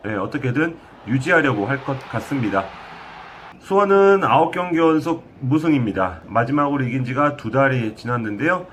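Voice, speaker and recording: Measured male football reporter. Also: mid-range voice, fairly bright tone, a little hiss or hum. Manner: measured, with frequent fillers and pauses. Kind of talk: match review commentary.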